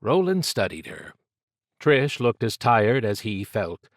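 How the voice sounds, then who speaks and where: A male audiobook narrator with a fairly low voice. He reads fiction in a measured, dramatic storytelling manner, and the recording is dry and free of room sound. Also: big pitch swings, dry recording.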